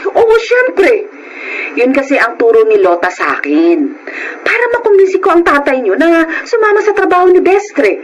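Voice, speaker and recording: A naggy demanding filipino wife, high voice, really swingy, emotional radio drama. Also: female voice, very animated, moderate pace, lo-fi sound. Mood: scheming. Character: nagging, demanding wife and mother of a poor family. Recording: noisy radio drama recording.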